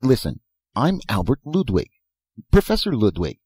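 A man's voice: medium pitch, huge pitch swings, moderate pace, dark tone, slightly rough, no echo, studio quality, voice pushed up. A man voicing an eccentric, philosophizing old professor who talks in short, insistent phrases and rhetorical questions in a storybook reading. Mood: eager. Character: elfin bearded old male professor, eccentric, philosophical inventor.

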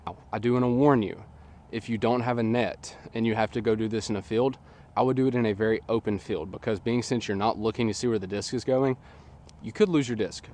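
A man's voice: fairly low pitch, a little fast, slight background noise. Slightly nasal man coaching outdoors. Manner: relaxed, chatty, filler-heavy explaining. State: slightly nasal from a sinus infection. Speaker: disc golf coach. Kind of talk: casual instructional talk. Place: open field outdoors. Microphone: camera on a tripod at a distance.

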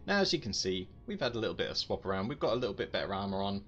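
A man's voice: on the low side, sing-song, racing, highs cut off. A man giving casual, self-deprecating gameplay commentary as a gaming video host. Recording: game music behind the voice. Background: loud game music.

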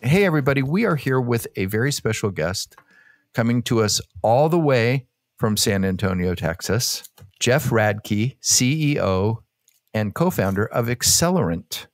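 A man's voice: medium pitch, expressive, unhurried, dry recording, studio quality, faint music behind. A man co-hosting a business podcast, chatting casually and joking with his co-host. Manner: friendly, joking.

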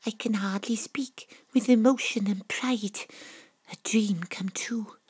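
Audio drama lead: proud female sleuth narrating, dramatic, slightly husky, close dry sound. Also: mid-range voice, steady tone, slow pace, darkish tone, no room sound. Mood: proud, moved.

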